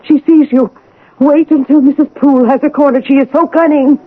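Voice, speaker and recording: Woman acting anxious, high-voiced housekeeper, old radio. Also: fairly even intonation, brisk, lightly acted. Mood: urgent, fearful. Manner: urgent, fearful warning. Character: anxious, cautioning female housekeeper. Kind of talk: classic radio drama acting. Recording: narrow, tinny old radio broadcast.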